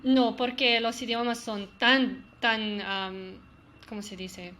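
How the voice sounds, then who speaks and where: A woman's voice: on the high side, level tone, slow, sharp tone. A woman hosting a casual chat over a video call, talking hesitantly and searching for words as she goes. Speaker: host.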